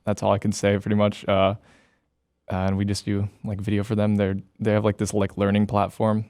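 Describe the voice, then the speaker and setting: A man's voice: lowish voice, monotone, normal speed, a little mellow, no echo. A young man from Iowa, a student videographer, answering interview questions hesitantly with lots of fillers in a podcast studio. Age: young adult.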